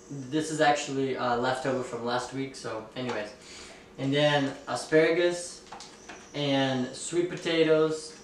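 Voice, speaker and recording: A man's voice: fairly high pitch, a little hiss or hum. Chatty young man in an echoey room. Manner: relaxed, chatty, many fillers and "like". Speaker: fitness vlogger and ballet dancer. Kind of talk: casual vlog talk to camera. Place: small room indoors, at a kitchen table. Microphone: camera mic at a distance. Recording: very echoey room, a bit far from the mic.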